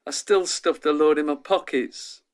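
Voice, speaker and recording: A troubled patient in his twenties played by a male actor in a radio play. He talks plainly and self-deprecatingly, downbeat and colloquial, in a voice a little higher than most men's. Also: moderate pace, clean tone, natural voice. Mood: downbeat, wry. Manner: downbeat, matter-of-fact, colloquial. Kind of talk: radio drama monologue, interview-like.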